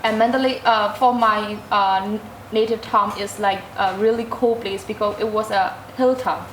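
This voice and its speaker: A young woman, a student guest answering interview questions hesitantly, filling pauses with "like" and "um". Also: mid-range voice, steady tone, a little hiss or hum. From Myanmar. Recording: roomy echo.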